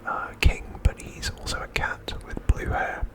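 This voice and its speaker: A man whispering an ASMR narration in a soft, unhurried way, with deliberate phrasing and pauses. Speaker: host of an ASMR channel.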